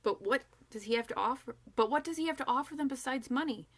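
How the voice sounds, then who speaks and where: A woman's voice: sits high, fast, voice pushed up. A woman voicing a naive, earnest young female zombie with a questioning manner in a storybook reading. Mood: earnest, doubtful.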